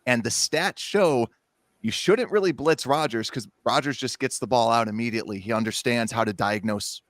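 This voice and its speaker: A man joins a sports podcast as a guest, chatting casually and affirming his host often with an easy laugh. His voice is recorded dry, with no room sound, at a middling pitch for a man. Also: a bit bright, no room sound. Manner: agreeable, quick to affirm, laughs easily.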